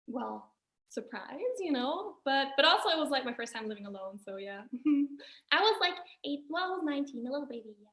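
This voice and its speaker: Young woman from austria, native german w/ american accent, highish voice, roomy echo, casual guest chat. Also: vocal fry, fairly bright tone. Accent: American, acquired. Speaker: singer and actress guest. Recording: roomy, echoing voice.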